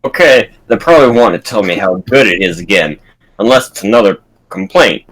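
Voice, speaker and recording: A man's voice: fairly low pitch, lively intonation, a little fast, fairly bright tone, poor audio. A man voicing a jaded, sarcastic cook in a comedy script read-through, dry and weary.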